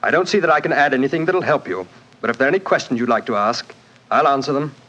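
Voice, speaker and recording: A man playing an arrogant, hot-tempered mining magnate in a 1940s radio drama, speaking bluntly and forcefully. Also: mid-range voice, lively intonation, fast, muffled recording. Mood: blunt, demanding. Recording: 1940s radio broadcast recording.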